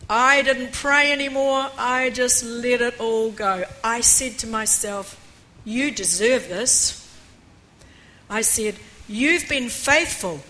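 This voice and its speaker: An older woman preaching a personal testimony in an earnest way, with a croaky voice, reading a passage aloud. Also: on the high side, takes it slowly, pushed and bright, a little hiss or hum, low-quality recording. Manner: earnest, reads aloud with rising emphasis. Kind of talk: testimony sermon.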